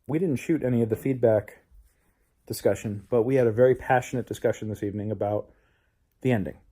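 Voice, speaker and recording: A man's voice: medium pitch, a little fast, dark tone. A man talking reflectively in a personal vlog as a playwright, earnest and introspective. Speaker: playwright, show host.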